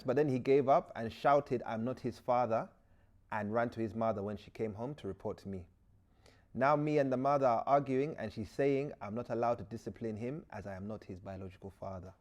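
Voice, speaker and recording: Guy putting on a ugandan accent as a fed up nigerian stepdad, slow emphatic reading. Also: mid-range voice, darkish tone, close to an everyday voice. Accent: Ugandan, put on. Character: exasperated Nigerian stepfather writing in for advice.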